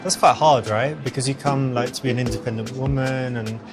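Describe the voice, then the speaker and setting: A man playing a smooth, attentive dater and coach, talking in a conversational, reassuring way. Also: mid-range voice, fairly even intonation, a little fast, music in the background, close to an everyday voice. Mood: earnest. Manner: conversational, reassuring, uses "you know" often. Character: smooth, attentive man chatting up a woman on a date. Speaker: seduction coach and dating game presenter. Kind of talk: scripted date dialogue and coaching commentary.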